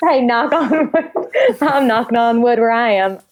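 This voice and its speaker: A woman's voice: on the high side, expressive, a little slow. A woman playing a chatty, name-dropping child talent agent in improvised comedy, emphatic and repetitive. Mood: mock sincere.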